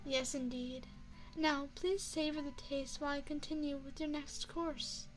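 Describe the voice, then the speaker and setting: A woman voicing a courteous female vampire chef and restaurant owner in an ASMR roleplay, speaking in a formal, measured, refined way. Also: sits high, steady tone, faint music behind, low-quality recording. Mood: savoring, refined. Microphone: binaural microphone.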